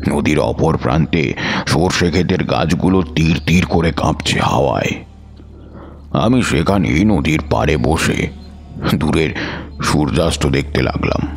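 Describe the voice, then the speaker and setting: A devout wandering tantric mystic, voiced by a man, tells his story in a measured, reflective audio-drama narration. His voice sits extremely low and steady. Faint background music runs beneath him. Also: gravelly, slightly rough, heavily acted. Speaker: first-person narrator.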